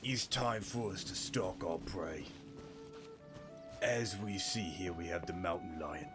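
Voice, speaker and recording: A man putting on a mock-solemn, deliberate nature documentary narrator as a parody. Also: sits low, music in the background, voice pushed down.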